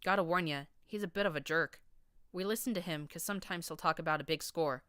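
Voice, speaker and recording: A woman's voice: deep voice, fast talker, fairly bright tone, smooth, dry recording, clean studio sound. A woman voicing a laid-back male pony traveler with a casual drawl in an audiobook story.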